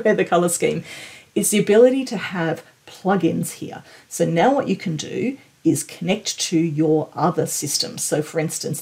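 Husky woman presenting a tech demo. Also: low voice, darkish tone. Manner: enthusiastic, informal. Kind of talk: explainer screen-demo commentary, conversational.

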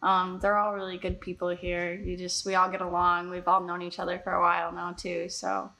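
A woman's voice: fairly low pitch, completely flat delivery, a little fast, pushed and bright, low-quality recording. A young woman dog groomer introducing herself in a salon, plain and matter-of-fact.

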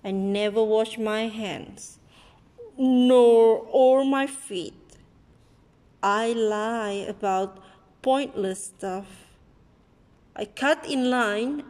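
A woman reading a picture book aloud expressively to a child, in a deliberate manner, recorded on a handheld phone indoors. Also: fairly high pitch, darkish tone, long reverb tail. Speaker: read-aloud storyteller. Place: indoor room with wooden floor. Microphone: handheld phone near the book.

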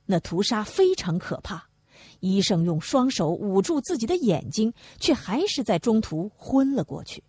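A woman's voice: mid-range voice, very animated, normal speed, warm tone, slightly rough, no echo. A woman reading a novel aloud as scripted audiobook narration.

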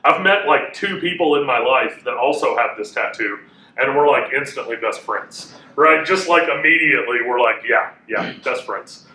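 A man in his thirties teaching a class in a casual, chatty way, with a loud voice that carries and many fillers. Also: mid-range voice, expressive, moderate pace. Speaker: Bible teacher. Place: classroom with a whiteboard, indoors. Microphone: room mic from a fixed camera. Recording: echoey room, voice a bit far off.

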